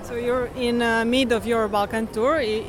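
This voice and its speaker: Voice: mid-range voice, level tone, moderate pace. An interviewer asking questions in broken, non-native English. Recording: noisy street with crowd.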